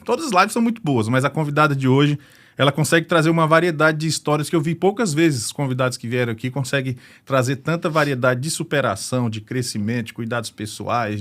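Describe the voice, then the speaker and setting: A man's voice: mid-range voice, brisk, fairly bright tone. A man from Brasília hosting a live interview show, opening with an upbeat greeting and chatting easily with his guest. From Brasília, Brazil. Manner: upbeat, chatty, leans on "né" tags. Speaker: podcast host.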